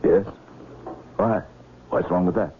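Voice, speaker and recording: Low-voiced, dryly probing magistrate. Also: male voice, fairly even intonation, takes it slowly. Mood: probing, calm. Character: measured, dryly probing male island magistrate investigating a death. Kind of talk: 1970s radio mystery acting. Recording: muffled phone-like old radio, faint noise.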